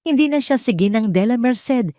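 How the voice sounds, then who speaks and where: A Filipino woman delivering dramatic scripted story narration in a measured, sentimental way with deliberate phrasing. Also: on the high side, animated, mellow, no echo, clean studio sound.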